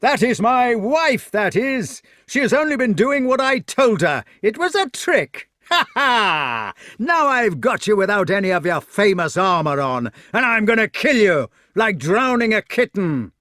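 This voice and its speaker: A man voicing a fat, bullying villain of a knight in a storybook, shouting with scornful bluster. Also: sits high, sing-song, unhurried, hardly any pauses, no room sound, studio quality, voice pushed up, exaggerated voice. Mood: raging, gloating.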